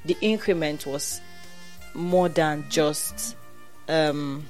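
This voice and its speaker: Low-voiced Nigerian woman chatting. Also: very slow, a little mellow, faint music behind. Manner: chatty storyteller, many restarts and quoted voices. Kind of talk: casual chat.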